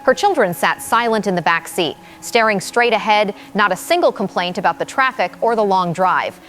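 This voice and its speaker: A woman acts out a female reporter covering an apocalyptic evacuation. Her medium-pitched voice is serious and articulate, with an uneasy edge. Light music plays under her audio drama report. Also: expressive, moderate pace, fairly bright tone, natural voice. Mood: tense, troubled.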